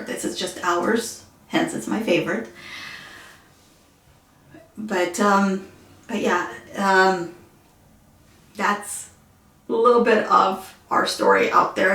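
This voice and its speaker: Soft-spoken Ukrainian-accented woman, echoey room. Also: medium pitch. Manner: soft-spoken, halting with fillers. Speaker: vlogger. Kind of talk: casual personal vlog.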